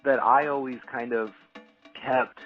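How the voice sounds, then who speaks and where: A man's voice: medium pitch, fairly even intonation, measured pace, dull audio, soft background music. A man hosting a podcast interview, asking hedging, searching questions and relating to his guest. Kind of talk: conversational interview.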